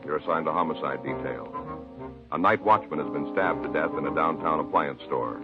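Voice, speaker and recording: A man's voice: extremely low pitch, fairly quick. A man delivering a solemn, dramatic scripted introduction in an old-time radio style. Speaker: announcer. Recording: muffled old radio recording. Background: music.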